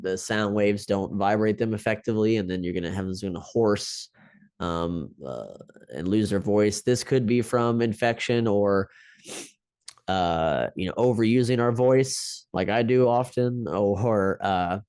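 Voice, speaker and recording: Male instructor, lowish smooth voice, dry close mic, loose explainer with fillers. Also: a little mellow, no echo. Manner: lots of ums and restarts, explaining step by step. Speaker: teacher. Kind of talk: unscripted lecture.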